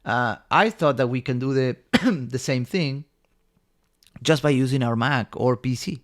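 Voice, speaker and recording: A man's voice: mid-range voice, a little slow. A man from Argentina, a company co-founder, eagerly telling his story in a rambling way with many fillers. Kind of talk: casual storytelling in an interview.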